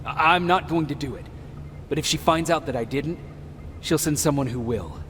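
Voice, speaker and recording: A man's voice: on the high side, normal speed, a bit bright, lightly acted. A man acting a video game role as a guarded, plain-spoken resistance soldier. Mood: guarded, sincere. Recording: faint background noise.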